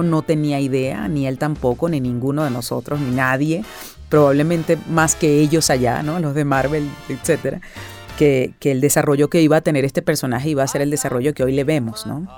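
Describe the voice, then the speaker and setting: A woman's voice: sits low, warm tone. Reflective middle-aged Venezuelan woman. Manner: reflective, with many restarts and tag questions. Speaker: voice actress. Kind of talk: interview answers.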